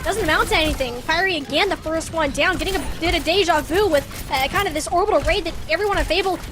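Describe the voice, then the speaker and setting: A woman's voice: very high-pitched, fast. A woman doing live play-by-play commentary of a video game match, in a playful, colloquial, exclamatory way. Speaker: esports caster.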